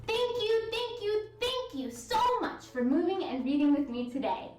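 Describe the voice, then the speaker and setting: A woman with a high, very lively voice guides little kids through a story and movement lesson. She gives simple, encouraging, sing-song prompts and leaves room to copy her. She is a little away from the mic in an echoey room. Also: normal speed, faint music behind. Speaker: children's yoga and story time host. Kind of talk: interactive read-aloud and movement lesson for young children. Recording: roomy echo, distant mic.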